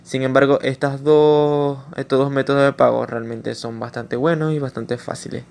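A Latin American man narrating a screen-recorded tutorial in an informal way, pausing with fillers and restarting his sentences. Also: mid-range voice, level tone, creaky, darkish tone. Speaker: YouTuber explaining online earnings.

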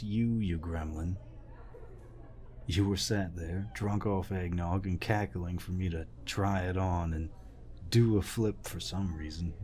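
Man playing a deep-voiced, protective older veteran. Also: a little mellow, a little hiss or hum. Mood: tender, teasing. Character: gruff, protective older war veteran, tender and teasing. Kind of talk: intimate audio roleplay acting.

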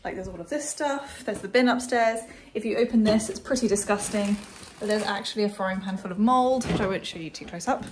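A woman's voice: mid-range voice, brisk, few pauses, slight background noise. A young woman, chatting candidly to her phone camera as she walks through a house and down a street. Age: young adult. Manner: chatty, candid, talks while walking around. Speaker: vlogger. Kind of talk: casual vlog narration. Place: empty house indoors, then outdoors on a street. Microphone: phone at arm's length.

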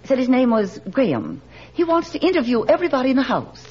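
A woman's voice: high-pitched, wildly swooping pitch, quick pace, dark tone, breathy edge, exaggerated voice. An actress playing a doting, chatty middle-aged small-town mother in 1940s radio style, folksy and deferential. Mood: excited, proud. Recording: dull 1940s radio recording.

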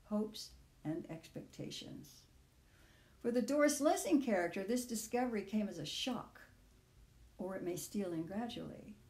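An older woman reading her own personal essay aloud in measured, deliberate phrases. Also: medium pitch, warm tone, roomy sound.

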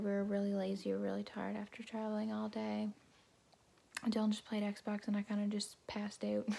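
A woman's voice: mid-range voice, creaky, dark tone, breathy edge. A young woman chatting casually to her phone camera for a vlog, rambling with lots of fillers, her throat sore as if losing her voice. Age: young adult. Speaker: vlogger. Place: car while driving, then a store, then a small room indoors. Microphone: handheld phone camera.

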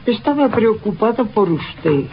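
An actress playing a composed, concerned lady of the house, formal and measured, in an old radio drama. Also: on the high side, sing-song. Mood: grave concern. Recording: dull noisy old radio recording.

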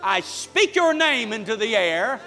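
High, bright-voiced preacher man in a hall. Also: big pitch swings, measured pace, vocal fry, a faint crowd. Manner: fervent, building to loud praying. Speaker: pastor. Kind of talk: sermon and prayer. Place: large church sanctuary with congregation. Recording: church hall with music behind.

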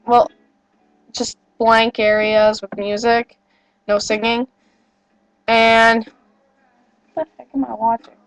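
A woman's voice: fairly high pitch, level tone, slow, long pauses, no room sound, faint music behind, low-quality recording. A teenage girl rambling casually to her webcam, getting over a cold and sounding a little nasal, full of fillers and apologies. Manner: chatty, rambling, self-deprecating, many fillers. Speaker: vlogger. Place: small wood-paneled bedroom indoors. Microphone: built-in webcam microphone.

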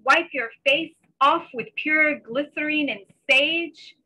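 High, very bright-voiced woman poet. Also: takes it slowly. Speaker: poet reading own work. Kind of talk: poetry reading with informal introductions. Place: small bedroom at home. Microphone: computer mic on a video call. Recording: slightly dull video call audio.

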